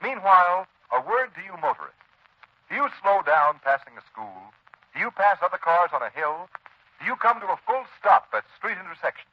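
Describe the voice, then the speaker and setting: High-voiced, animated 1930s radio announcer man. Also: moderate pace, no room sound. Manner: emphatic salesman. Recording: dull old 1930s radio recording.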